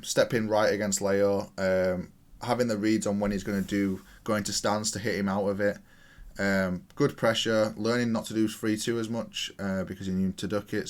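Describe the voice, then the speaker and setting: A man giving casual, matter-of-fact commentary as he analyses a video game match, explaining each move. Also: fairly low pitch. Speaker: gaming content creator.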